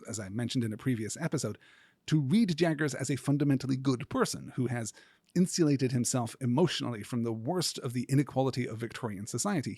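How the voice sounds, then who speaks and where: A man hosting a podcast as a scripted literary lecture, speaking in a measured, earnest, academic way. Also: mid-range voice, a little mellow, breathy edge, no echo. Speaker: podcast host.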